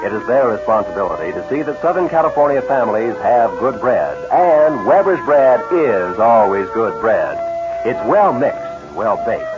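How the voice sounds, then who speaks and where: A man's voice: medium pitch, big pitch swings, normal speed, music in the background. A man reading a scripted 1940s radio commercial with an earnest, polished salesman's delivery. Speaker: commercial announcer. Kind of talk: 1940s radio advertising read. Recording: dull 1940s radio recording.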